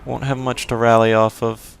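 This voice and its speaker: A young man talks casually over his gameplay, rambling and filling pauses with ums before breaking into sudden shouts. His voice is smooth and clear, with an ordinary mid pitch. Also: flat delivery. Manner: rambling, with many fillers and sudden outbursts. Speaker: gameplay video host. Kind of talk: casual let's play commentary.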